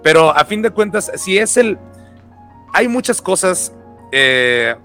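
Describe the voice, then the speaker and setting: Bright-voiced Mexican man chatting. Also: sits high, takes it slowly, soft background music. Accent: Mexican Spanish. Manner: relaxed, slangy, many fillers and restarts. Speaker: podcast co-host. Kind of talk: casual podcast chat.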